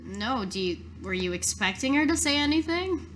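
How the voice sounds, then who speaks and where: Female tabletop player, casual improvised role-play, higher register, crisp forward tone, slight noise behind. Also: expressive, moderate pace, a bit bright.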